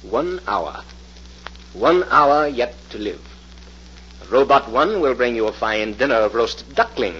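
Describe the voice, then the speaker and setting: An actor playing a cruel, commanding, megalomaniac professor in a pompous, declamatory 1940s radio thriller style. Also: male voice, mid-range voice, very animated, measured pace, pauses often, a bit bright, slightly rough, exaggerated voice. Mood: grandiose menace. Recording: muffled, noisy old radio recording.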